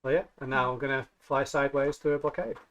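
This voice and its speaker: Man chatting at a tabletop game, mid-pitched. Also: fast talker, fairly bright tone, highs cut off. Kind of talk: casual chat.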